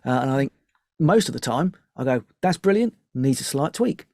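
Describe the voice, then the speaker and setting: A man's voice: medium pitch, expressive, moderate pace, darkish tone, no echo. Man chatting in a dry-sounding studio. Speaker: interview guest, music composer. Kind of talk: casual conversational interview. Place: music studio.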